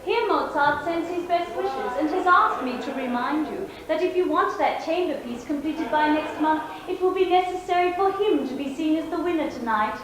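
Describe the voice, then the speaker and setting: An actress playing a scheming woman courtier on stage, persuasive and quietly insinuating. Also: high voice, expressive, normal speed, hardly any pauses, a crowd in the background. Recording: very echoey live stage with audience.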